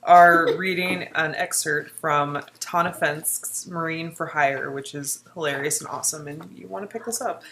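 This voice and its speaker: A woman's voice: sits low, moderate pace, few pauses, fairly bright tone, slight background noise. A woman reading a book excerpt aloud informally, with a playful, giggly manner and off-the-cuff asides. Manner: giggly, playful, often breaking off.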